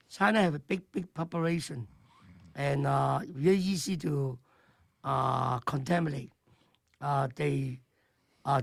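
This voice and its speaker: Older chef, gravelly. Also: male voice, fairly high pitch, dry recording, clean studio sound. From Hong Kong. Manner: broken English, animated and joking. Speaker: chef guest. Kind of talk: radio interview.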